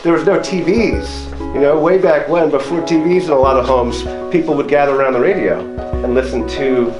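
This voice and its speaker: A man's voice: on the high side, fairly quick, over music, poor audio. A man casually explaining things conversationally as a radio station tour guide. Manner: conversational, illustrative. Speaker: radio station staffer guiding a student tour.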